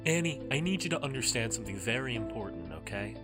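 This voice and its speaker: A man playing a gentle, earnest father in an audio drama, speaking in a soft, coaxing way. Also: medium pitch, big pitch swings, fast talker, loud music behind the voice, lightly acted. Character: gentle, earnest father pleading with his daughter.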